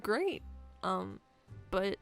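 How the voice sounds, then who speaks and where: A woman's voice: mid-range voice, very animated, very slow, creaky, fairly bright tone, full character voice. A woman playing a guarded, flustered young camp leader in an improvised game roleplay, stammering with nervous little laughs. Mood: awkward, weary. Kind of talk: improvised Minecraft roleplay acting. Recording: music behind the voice.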